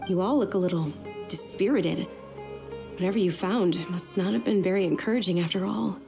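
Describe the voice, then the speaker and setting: An actress playing a gentle young noblewoman in a game, speaking softly and courteously. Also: on the low side, a little fast, creaky, over music. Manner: soft, courteous, careful. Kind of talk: game voice acting.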